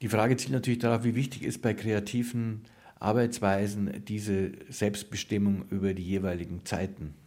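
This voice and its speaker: A man's voice: lowish voice, flat delivery, moderate pace, darkish tone, no room sound. A man presenting and interviewing for radio in scripted narration and questions.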